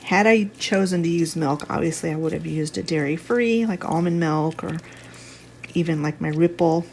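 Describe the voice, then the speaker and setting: A woman with a slightly stuffy, congested voice, giving a casual, chatty home cooking demonstration at an unhurried, thinking-aloud gait. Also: low-pitched, a little hiss or hum. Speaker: home cook, video host. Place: home kitchen.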